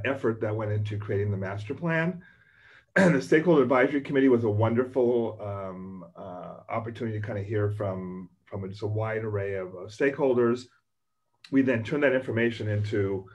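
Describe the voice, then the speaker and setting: A hoarse man giving unscripted welcome remarks as a government official on a video call, halting with many fillers and restarts. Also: on the low side, darkish tone. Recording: muffled video call audio.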